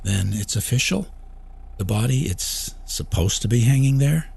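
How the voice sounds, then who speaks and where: A man's voice: medium pitch, expressive, moderate pace, gravelly, slightly rough, voice pushed up, exaggerated voice. A man reading a short story as a panicked, desperate small-town store owner, pleading and rattled. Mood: anxious, hopeful. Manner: frantic shouting, pleading, later shaky and weary. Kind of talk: audiobook character acting.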